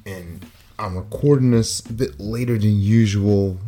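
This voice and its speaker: A middle-aged man with a raspy, slightly hoarse voice, hosting a personal motivational podcast and speaking earnestly in short, paused phrases. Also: lowish voice, mellow. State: hoarse after a concert. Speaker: podcast host.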